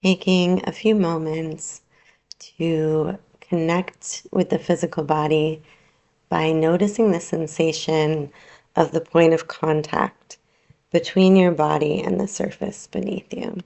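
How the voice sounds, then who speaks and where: A woman teaching yoga nidra, guiding a relaxation meditation in a soothing, unhurried, gently instructing way with long pauses. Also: deep voice, steady tone, vocal fry.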